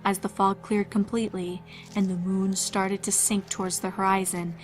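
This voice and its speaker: Woman narrating with very even intonation. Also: mid-range voice, moderate pace, soft background music. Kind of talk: scripted fan fiction horror narration.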